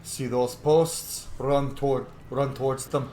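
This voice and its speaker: A man's voice: medium pitch, steady tone, takes it slowly, dark tone. A man giving gaming commentary and reading out dialogue choices in character as he role-plays. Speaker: gamer playing and commenting. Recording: roomy echo, faint game noise.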